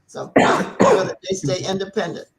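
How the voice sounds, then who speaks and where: A man's voice: high-pitched, very animated, normal speed, a little hiss or hum. An older man on a talk show panel giving firm, emphatic advice in a casual way.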